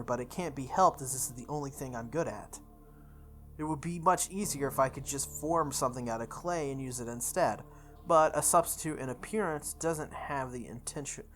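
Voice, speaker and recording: A woman's voice: very deep voice, a bit bright. A woman reading visual novel text aloud as a playthrough host, sometimes stumbling and re-reading lines. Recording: music behind the voice.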